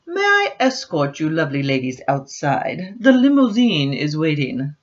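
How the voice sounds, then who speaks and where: A woman's voice: deep voice, sing-song, a little slow. An older woman voicing a gallant father who plays a posh chauffeur in a picture book. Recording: dull, lo-fi home webcam sound.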